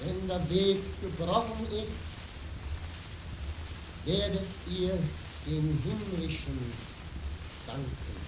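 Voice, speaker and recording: An actor playing a calm, steadfast monk with a measured, solemn delivery in a radio play. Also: male voice, high-pitched. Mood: serene, resolute. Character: calm, steadfast monk hewing a path through granite. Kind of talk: theatrical radio play acting. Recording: echoey, noisy radio recording.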